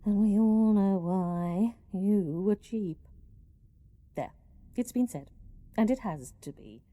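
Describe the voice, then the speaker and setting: Woman acting a dark-voiced, haughty senior office woman. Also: medium pitch, slow pace, natural voice. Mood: accusing. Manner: formal, scolding, haughty. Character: stern, disapproving senior female company employee. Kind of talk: radio play monologue acting.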